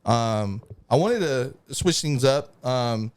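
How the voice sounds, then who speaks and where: A man hosts a podcast interview with a smooth, resonant voice. He talks slowly and halts often on fillers while he builds his questions. The sound is dry and close, with no room around it. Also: medium pitch, animated, no room sound. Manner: slow, hesitant, many fillers. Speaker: podcast host. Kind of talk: interview hosting.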